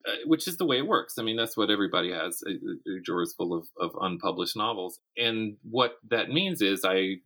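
A man's voice: lowish voice, lively intonation, fairly quick, few pauses, bright, forceful tone. A man talking casually as a writer being interviewed, self-deprecating and thinking aloud as he goes. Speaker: interview guest, novelist and audio drama writer.

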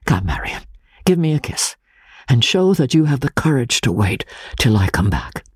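A woman voicing a determined, urgent Victorian gentleman in a storybook, with a brisk, commanding manner. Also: rumbling low, sing-song, breathy edge, no echo, clean studio sound, heavily acted. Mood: earnest, reassuring.

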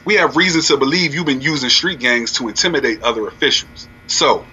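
A man's voice: medium pitch, brisk, pushed and bright, husky, muffled recording. A man playing a stern, formal federal officer making accusations in an audio drama. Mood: accusing.

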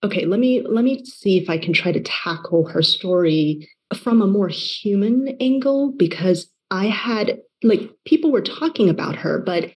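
A chatty young woman, a novelist from Taiwan, answers interview questions. Her voice is soft and breathy, and she keeps circling back with "like" and "you know" and restarting her sentences. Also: mid-range voice, expressive, moderate pace, few pauses, poor audio. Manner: chatty, many fillers and restarts. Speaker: novelist guest.

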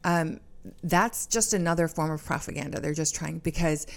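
A woman's voice: low-pitched, moderate pace, vocal fry. An American woman in casual interview conversation, informal and opinionated.

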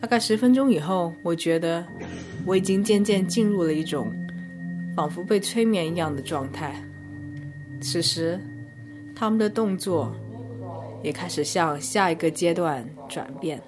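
A woman narrating a scripted first-person arts review in measured, descriptive short phrases. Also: lowish voice, over music. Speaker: podcast host and reviewer.

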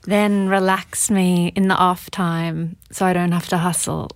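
A woman's voice: lowish voice, level tone, a little slow, no echo. A woman hosting a casual conversational interview, speaking in an informal, musing way. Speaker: podcast host, interviewer.

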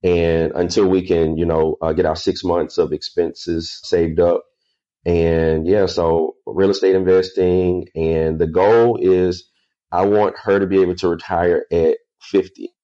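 A man in his thirties speaks as a podcast guest, earnest and unhurried, pausing and filling with "uh". His voice is very low and mellow. The recording sounds slightly dull and lo-fi. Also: level tone. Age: 30s. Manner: earnest, with pauses and "uh" fillers. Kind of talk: casual interview answers.

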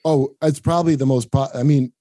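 A man's voice: mid-range voice, steady tone, brisk, mellow, no room sound. A man hosting a comedy podcast, chatting and joking casually with his guest.

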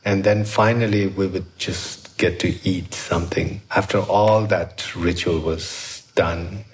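A middle-aged man from Nepal with a Nepali accent, reflecting on his life in thoughtful, halting phrases as an artist being interviewed. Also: sits low, fairly even intonation. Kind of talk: reflective personal storytelling.

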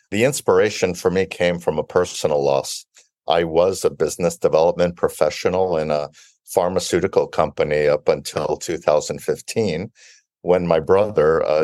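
Deep-voiced older man from Brooklyn. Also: darkish tone, dry recording, studio quality. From Italy-born, raised in Brooklyn, New York. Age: 50s or older. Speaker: interview guest, biotech founder and CEO. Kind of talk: measured interview answers.

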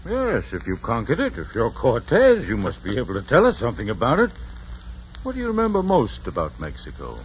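An actor playing a curious, half-amused tavern bystander in a probing 1940s radio style. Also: male voice, medium pitch, wildly swooping pitch, fairly quick. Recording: muffled, noisy 1940s radio recording.

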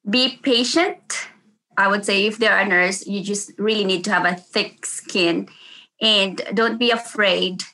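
A woman with a Filipino accent talks in an interview about her work as a nurse. Her tone is bright and clear, and she speaks earnestly and often restarts her sentences. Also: medium pitch, steady tone, unhurried, low-quality recording. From Philippines. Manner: earnest, with frequent restarts and laughs. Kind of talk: casual interview.

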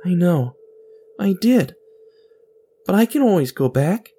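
A man's voice: sits high, very animated, slow pace, dark tone, breathy edge, pitched above the performer's own voice, full character voice. A man voicing a guarded young woman, a grown daughter and sailor, with a clipped, reluctant manner in an audio drama.

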